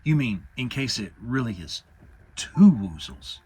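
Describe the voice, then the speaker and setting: A man's voice: mid-range voice, very animated, a little mellow, faint music behind. Man as a ponderous, earnest, puzzled storybook bear. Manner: ponderous, halting, earnest deliberation. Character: male toy bear, puzzled, earnest, slow-thinking, friendly.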